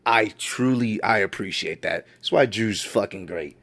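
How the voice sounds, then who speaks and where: A man's voice: on the low side, unhurried, creaky, a bit bright. A man giving casual reaction commentary on wrestling news, laid-back and admiring, often repeating himself.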